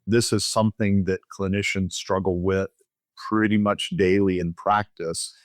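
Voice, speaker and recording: A man with a low-pitched voice speaks as a physician being interviewed. He explains clinical points in a conversational way, recorded dry with very little room sound. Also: fairly even intonation, moderate pace, dry recording. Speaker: physician, podcast guest.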